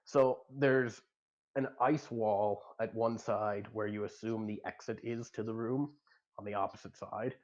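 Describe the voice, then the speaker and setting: Man, game master, low voice, halting explanatory narration, tabletop game, muffled lo-fi audio. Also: fairly even intonation.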